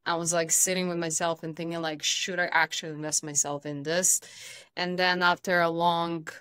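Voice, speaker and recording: A woman in her thirties from Bosnia, a guest telling stories in a casual interview with lively, expressive delivery. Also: lowish voice, normal speed, smooth, no room sound. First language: Serbian. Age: 30s. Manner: animated, expressive storytelling.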